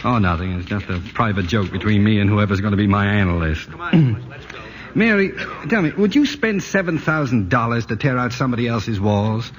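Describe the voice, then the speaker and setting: An actor playing a harried, middle-aged city ad man, brisk and exasperated, in 1940s radio acting. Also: fairly low pitch, lively intonation, lightly acted. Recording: dull old radio broadcast with music.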